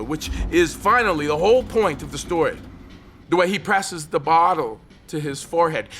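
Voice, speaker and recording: An actor playing a brooding, desperate man on a ledge in an audio drama, telling his story in an intense, halting, obsessive way. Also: fairly high pitch, a bit bright, close to an everyday voice. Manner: intense, halting, obsessive monologue with repeated phrases.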